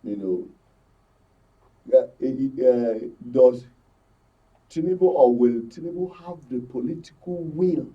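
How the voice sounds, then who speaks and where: A Nigerian man offers political analysis as a panel guest. His voice sits at a middling pitch. The recording is somewhat dull, with him a little off the microphone. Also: animated, slow, pauses often. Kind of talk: political analysis in a studio discussion. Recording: muffled, slightly distant microphone.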